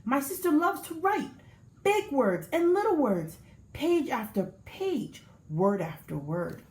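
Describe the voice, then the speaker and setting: A woman teacher reading a children's picture book aloud with expressive storybook delivery. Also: sits high, slow. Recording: echoey room.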